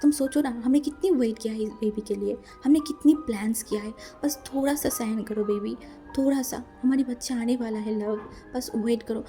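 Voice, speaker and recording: A woman's voice: a little high, steady tone, brisk, few pauses, warm tone, soft background music, natural voice. A woman doing a devoted, anxious young husband. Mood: soothing. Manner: soothing, coaxing.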